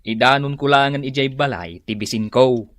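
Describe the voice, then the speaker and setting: A man's voice: medium pitch, normal speed, no room sound, studio quality, lightly acted. An actor playing a stingy, hard-drinking working man in a radio comedy drama, with an Ilocano accent and mumbled, grunting replies. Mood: grumbling.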